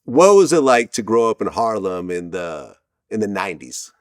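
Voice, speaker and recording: A man's voice: lowish voice, sing-song, normal speed, dry recording, clean studio sound. A man hosting an interview, greeting his guest and asking questions. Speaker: interview host.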